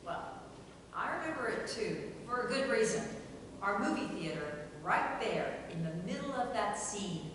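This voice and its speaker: A woman's voice: mid-range voice, big pitch swings, moderate pace, natural voice. A woman reading the part of a brusque, world-weary grandmother in a play's table reading, dry, blunt and folksy. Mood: reminiscing. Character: brusque, world-weary grandmother working beside a young colleague. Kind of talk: table reading of a stage play. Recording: very echoey hall from far off, faint noise.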